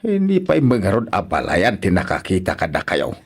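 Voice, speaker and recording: A man's voice: low-pitched, huge pitch swings, normal speed, husky, exaggerated voice. A man with an Ilocano accent playing a stern, indignant elderly father who scolds and repeats himself in a radio drama. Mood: angry scolding. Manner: scolding, emphatic, repeats words.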